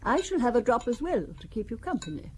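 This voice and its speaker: An actress playing a wealthy older widow who hosts with genteel politeness in a radio drama. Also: female voice, fairly high pitch, sing-song, fast, darkish tone, a little hiss or hum. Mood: gracious.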